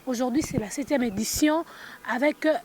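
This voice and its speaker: A woman's voice: sits high, fairly quick, a little mellow, slightly rough. A woman with a Congolese accent presenting and interviewing live at an event, unscripted, hesitant and often restating her phrases. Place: busy book festival venue. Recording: noisy event ambience. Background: event noise and ambience.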